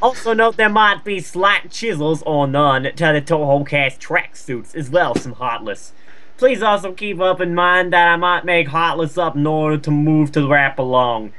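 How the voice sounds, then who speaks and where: A man's voice: a little high, moderate pace, sharp tone, slight background noise. A man co-reading fan fiction aloud in a joking, casual style, exasperated and prone to swearing.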